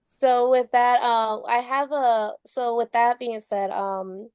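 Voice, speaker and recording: A young woman from Chicago answers interview questions in a chatty, giggly way, peppering her talk with "like". Her nasal voice sits fairly high, and the sound is close and dry. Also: steady tone, takes it slowly, a little mellow, clean tone, no room sound. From Chicago, Illinois. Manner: giggly, chatty, lots of likes. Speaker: musician guest. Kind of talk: casual interview. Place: recording studio.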